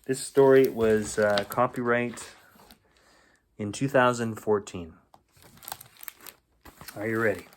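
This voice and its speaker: A man reading a children's storybook aloud in an expressive, playful storytelling manner. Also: on the low side, slow pace, long pauses, slight background noise. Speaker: bedtime story reader.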